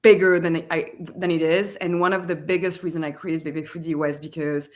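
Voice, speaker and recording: A woman's voice: low voice, fairly even intonation, brisk, a little mellow. A woman in her thirties with a French accent, a company founder speaking casually on a webinar panel with many fillers. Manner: conversational, many fillers like "like" and "you know".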